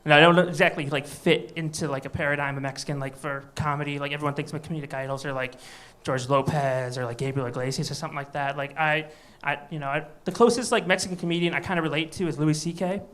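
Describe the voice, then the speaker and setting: A man from Michigan working a comedy club crowd, his voice pitched high with a bright, forward edge. He talks in a loose, wry, conversational way into a handheld mic, pitching jokes straight at the room. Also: steady tone, fast talker, hardly any pauses. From Michigan, USA. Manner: wry, chatty crowd banter. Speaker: stand-up comedian. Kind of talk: live stand-up set.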